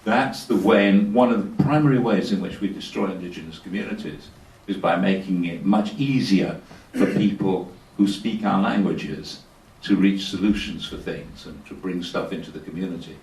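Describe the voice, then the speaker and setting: A man with a very low voice answers a question from the audience at a panel discussion. He explains in a measured way and draws on personal stories. He is picked up from a distance in a reverberant room. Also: darkish tone. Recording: roomy, distant hall recording.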